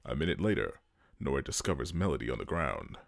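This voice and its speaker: A man's voice: extremely low pitch, big pitch swings, fast, vocal fry, no echo, clean studio sound. A man narrating a dramatic scripted story.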